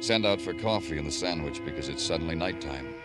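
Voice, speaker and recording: An actor playing a terse, brooding American police lieutenant in an old-time radio crime drama. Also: male voice, rumbling low, expressive, fast, over loud music. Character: world-weary hard-boiled male police lieutenant, narrating his case.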